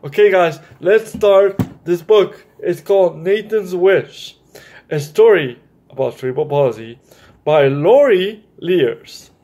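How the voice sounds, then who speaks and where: A man's voice: sits high, lively intonation, slow, darkish tone. A man hosting a children's story time, reading a picture book aloud and explaining it directly to young listeners.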